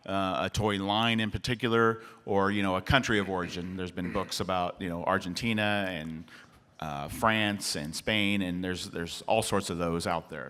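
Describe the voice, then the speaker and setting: A man's voice: low voice, a bit bright. A man casually introducing a panel talk to an audience, with fillers like uh and you know. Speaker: convention panel presenter. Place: convention hall panel room. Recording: long hall reverb.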